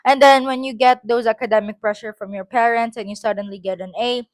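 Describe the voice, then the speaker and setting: A woman's voice: a little high, fairly even intonation, a little fast, no room sound. A woman with a Filipino accent reads her own school essay aloud, breaking off for chatty, self-correcting asides.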